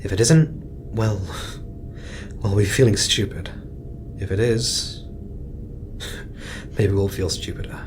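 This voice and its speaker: A man playing a stressed astronaut commander in a sci-fi audio drama, steadying the crew in measured, hesitant speech. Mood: strained, reassuring. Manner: measured, hesitant, reassuring with clipped orders. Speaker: mission commander giving orders. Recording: noisy, rumbling background.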